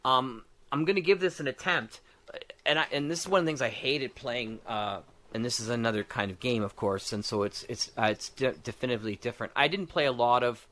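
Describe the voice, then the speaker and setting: A man with a bright, forceful voice in the middle of the male range. He talks casually as a podcast guest, animated and rambling, working out his ideas aloud as he goes. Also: mid-range voice, brisk. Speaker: guest, audio drama creator designing a tabletop game.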